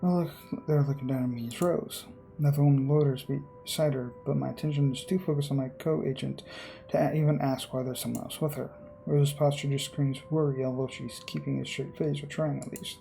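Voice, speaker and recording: A man's voice: medium pitch, fairly even intonation, a little fast, gravelly, mellow. A man hosting a game video, reading visual novel text aloud dramatically with casual commentary and some stumbles, game music behind him. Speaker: gaming video host.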